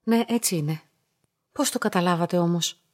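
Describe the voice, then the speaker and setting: An actress playing a solitary young woman in a radio play, polite, measured and introspective, quietly devoted and resolute. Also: on the low side, no room sound, studio quality, close to an everyday voice. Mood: hesitant, sincere. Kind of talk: radio drama acting and first-person narration.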